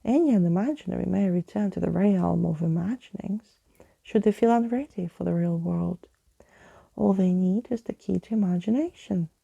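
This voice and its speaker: A woman playing storybook living skeleton man, fussy, theatrical, gravelly, soft and husky, quaintly indignant. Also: fairly low pitch, normal speed, lo-fi sound, exaggerated voice. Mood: formal. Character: fussy, theatrical male living skeleton with old-fashioned exclamations.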